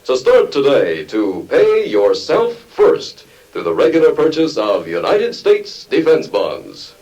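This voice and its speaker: A man's voice: mid-range voice, wildly swooping pitch, slow, darkish tone. A young man reading scripted announcements in a 1950s radio style. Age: young adult. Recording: dull, distant old radio recording, music.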